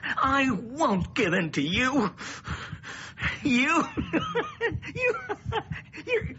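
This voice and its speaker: Radio-play victim: defiant hysterical laughter, high wildly swinging pitch, halting pace, noisy dull recording. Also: male voice, huge pitch swings, pushed and bright, full character voice. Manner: breaking into laughter between words. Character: tormented victim mocking a witch with hysterical laughter. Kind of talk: radio drama acting.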